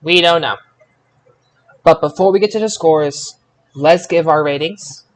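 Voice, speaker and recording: A man hosting a casual sports results podcast, speaking haltingly and drawing out his announcements. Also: a little high. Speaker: podcast host.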